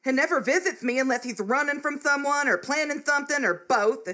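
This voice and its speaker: A woman's voice: sits high, brisk, sharp tone. A woman playing a bossy, indignant married lady in a live comic radio play, prim and scolding. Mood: conspiratorial, worried. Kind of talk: live comedic radio theatre acting.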